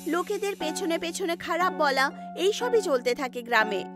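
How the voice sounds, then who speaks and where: High, lively woman storyteller over music. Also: moderate pace. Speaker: narrator. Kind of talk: scripted children's story narration. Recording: music behind the voice.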